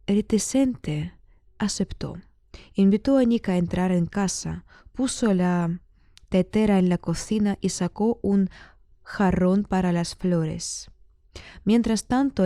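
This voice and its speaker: A woman with a soft-edged, mellow voice reads a dramatic story as its narrator. Her delivery is measured, with pauses between phrases. The recording is close and very dry. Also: medium pitch, no echo.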